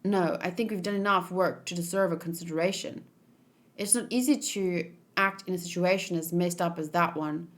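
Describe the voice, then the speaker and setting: A woman's voice: on the low side, fairly even intonation, moderate pace, natural voice. A woman voicing a weary young male soldier in a manga, cocky and oblivious, complaining in a casual, self-satisfied way.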